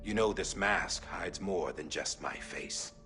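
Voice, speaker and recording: An actor playing a masked male director who gives a secretive recorded message in a hushed, confiding way. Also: low-pitched, slow, soft background music, full character voice. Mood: grave. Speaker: director of an organization.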